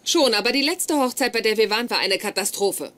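An actress playing a socially awkward but self-assured brain scientist in a dubbed sitcom, formal, blunt and deadpan in wording. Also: female voice, on the high side, brisk, slight background noise. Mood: self-satisfied.

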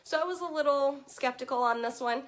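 A woman's voice: high-pitched, brisk. Chatty young woman with a fading voice. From Virginia. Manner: chatty and upbeat, many "um"s, greets viewers by name. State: losing her voice from allergies. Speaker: children's book consultant and host. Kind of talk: casual chat to a live group. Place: home room in front of full bookshelves. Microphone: webcam at desk distance.

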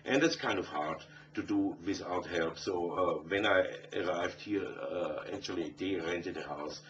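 A man's voice: low-pitched, measured pace, fairly bright tone. A middle-aged man from Austria with an Austrian German accent, a native German speaker answering conversationally as a musician in an interview. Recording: dull, distant, faintly noisy interview audio.

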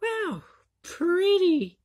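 A woman's voice: very high-pitched, big pitch swings, drawn-out words, darkish tone, voice pushed up, full character voice. A woman voicing an eager, clumsy little girl apprentice witch in an amateur anime fandub, earnest and childlike. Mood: amazed.

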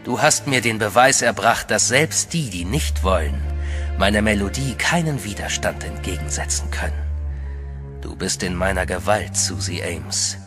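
An actor playing a cold, domineering male vampire master who gives slow, deliberate commands in a radio play. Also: fairly low pitch, expressive, natural voice. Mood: commanding. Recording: music bed under voice.

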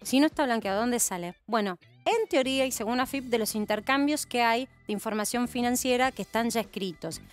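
A woman's voice: fairly high pitch, brisk, hardly any pauses, soft background music. A woman in her thirties with an Argentine accent, hosting a studio news panel and explaining with rhetorical questions. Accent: Argentine Spanish. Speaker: news host.

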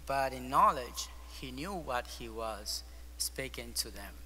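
A male priest gives a homily in English with a Spanish accent, pausing and reaching for words along the way. His voice is bright and clear. It rings out through a large church with long reverb and a faint hum underneath, picked up by a distant camera. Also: mid-range voice, expressive. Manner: hesitant, searching for words with frequent ums. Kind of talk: weekday homily. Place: large ornate church, at the altar. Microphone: far camera.

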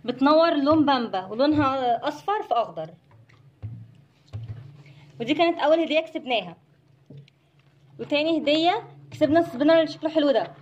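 A woman's voice: high-pitched, lively intonation, fairly quick, long pauses, highs cut off, a little hiss or hum. A woman with an Egyptian accent casually narrating an unboxing for children. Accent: Egyptian Arabic. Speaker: host of a children's toy channel.

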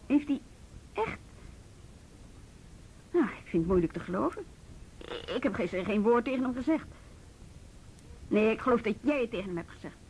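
A woman's voice: fairly high pitch, expressive, normal speed, pauses often, a little mellow, a little hiss or hum, low-quality recording. An actress playing a reluctant, principled policewoman in a Dutch radio drama, speaking in a hesitant, conversational way. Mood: disbelieving, firm. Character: reluctant, principled youth police officer, adult woman.

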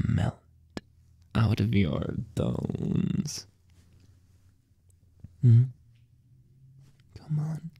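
Slow, gravelly whispering young man. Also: mid-range voice, dry recording, clean studio sound. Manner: slow, teasing, breathy whispers with soft chuckles. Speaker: ASMR roleplay creator. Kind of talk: whispered ASMR roleplay as a teasing boyfriend. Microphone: close microphone.

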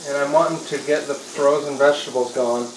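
A man's voice: on the high side, fairly even intonation, fairly quick. A man casually narrating his meal prep at home, explaining each step as he cooks at the stove. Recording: echoey room with clear kitchen noise. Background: sizzling pan and stirring.

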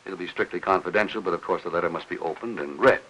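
Deep-voiced older American official. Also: male voice, fairly bright tone, breathy edge, highs cut off. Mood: measured, official. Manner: measured, formal, officious. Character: courteous, unhurried, rule-bound older post office official. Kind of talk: 1950s film acting. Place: office set. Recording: hissy old 1950s film soundtrack.

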